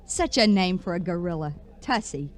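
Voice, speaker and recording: A woman's voice: fairly low pitch, expressive, moderate pace, natural voice. An actress playing a brash, wisecracking reformed shoplifter turned salesgirl, in an old radio crime drama. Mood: wistful, confiding. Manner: slangy, wry, breezy. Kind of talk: 1940s-50s radio crime drama acting. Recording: vintage radio recording with noise.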